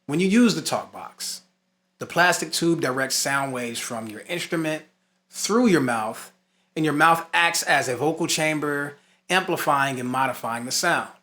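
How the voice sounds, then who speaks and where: Bright-voiced man teaching a tutorial. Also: on the high side, expressive, measured pace. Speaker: instructor and host of a talk box tutorial. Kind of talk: informal tutorial, partly scripted explanation.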